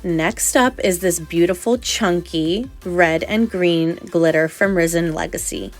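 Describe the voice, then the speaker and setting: A woman's voice: fairly low pitch, measured pace, faint music behind. A young adult woman narrating a nail tutorial voice-over in a chatty, explanatory way, sounding slightly nasal from a cold.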